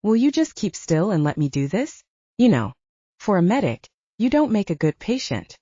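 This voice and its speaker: A woman's voice: mid-range voice, sing-song, normal speed, warm tone, dry recording, muffled recording. A woman voicing a cocky, reassuring male werewolf soldier in an audiobook, teasing and insistent. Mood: concerned, bossy. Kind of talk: audiobook character dialogue.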